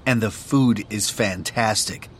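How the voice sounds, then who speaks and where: A man who announces the tracks and reads the lines of a course dialogue in a slow, careful manner. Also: on the low side, a little hiss or hum. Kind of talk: scripted textbook audio reading.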